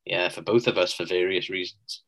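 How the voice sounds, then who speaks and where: A man co-hosting a podcast, talking in a measured, thoughtful way as he weighs things up in casual chat. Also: deep voice, fairly even intonation, a bit bright. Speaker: podcast co-host.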